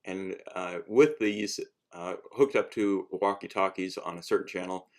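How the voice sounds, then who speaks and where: A man's voice: deep voice, unhurried. A man giving a casual how-to talk as a hobbyist showing homemade gear, rambling and hesitant, often breaking off to restart. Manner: rambling, hesitant, self-interrupting.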